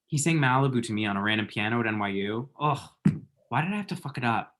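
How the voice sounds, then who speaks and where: A man's voice: mid-range voice, lively intonation, fast talker, a bit bright, close to an everyday voice. Man acting a chatty, flippant lovesick young guy. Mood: hyped, rueful. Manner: chatty, dry, self-deprecating, pop-culture asides. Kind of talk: screenplay table read.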